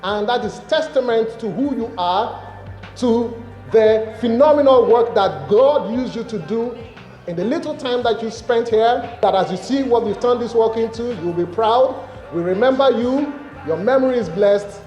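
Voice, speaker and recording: Man, high pitch, mellow, devout tribute, long reverb, faint music. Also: normal speed. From Nigeria.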